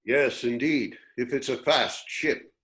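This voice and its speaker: A man playing a calm, courteous old warrior in a sci-fi script reading. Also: medium pitch, takes it slowly. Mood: measured negotiating. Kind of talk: script table read.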